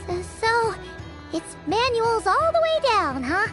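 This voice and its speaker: A tiny, childlike fairy sidekick from a video game, squeaks along in an extremely high voice. The delivery is unhurried yet excitable, full of exclamations, with music playing clearly behind it. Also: female voice, exaggerated voice. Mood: curious, uneasy. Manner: childlike, exclamatory, refers to self by name.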